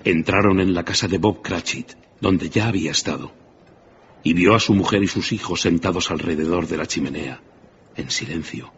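An actor as the grave narrator of a radio drama ghost tale, telling it in a measured, solemn way. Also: male voice, deep voice. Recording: muffled, with clear ambient noise.

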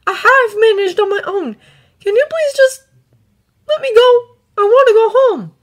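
A woman voicing a distraught, stubborn boy in an audio story, emotional and snappish. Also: unhurried. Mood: pleading, tired. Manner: high, emotional, snappish outbursts. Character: distraught, stubborn, self-blaming human boy.

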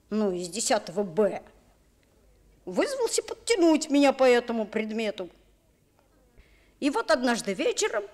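Slow-talking, dreamy schoolboy. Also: female voice, sits high, exaggerated voice. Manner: expressive, pleading, drawn-out storytelling. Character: dreamy, evasive schoolboy of about ten narrating his adventures. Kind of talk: Soviet radio play acting.

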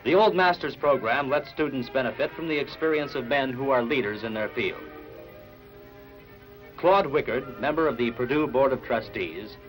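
Casting: male newsreel announcer, 1960s style, formal and measured, mid-pitched, with music clearly behind. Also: a bit bright, low-quality recording. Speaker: newsreel narrator. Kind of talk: 1960s newsreel scripted narration. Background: background music.

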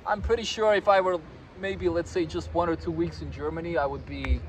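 A man's voice: on the high side, fairly even intonation, brisk, poor audio. A man in his thirties from Croatia, chatting casually as a guest at a park table, with lots of fillers and self-deprecating asides. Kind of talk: casual conversation. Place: park table outdoors on a sunny day. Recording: outdoor traffic noise.

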